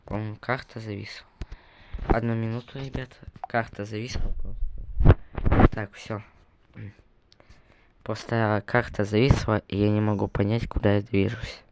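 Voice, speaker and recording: A man's voice: lowish voice, flat delivery, a little slow, long pauses, darkish tone, slight background noise. A young man casually commenting on his gameplay, rambling and correcting himself as he talks to viewers, recovering from a cough. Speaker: gaming video creator.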